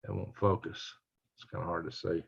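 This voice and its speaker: An older man with a raspy voice chatting casually and folksily as he hosts a live stream and reads out comments. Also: low-pitched, little pitch movement, brisk. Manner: folksy, rambling, chuckling country talk. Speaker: livestream host. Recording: dull lo-fi livestream audio.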